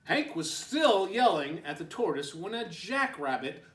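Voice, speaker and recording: A man's voice: high-pitched, sing-song, unhurried, fairly bright tone. A man reading a children's picture book aloud as storytime host, expressively, with little asides to young listeners. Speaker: storytime reader, preschool teacher. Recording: roomy echo, distant microphone.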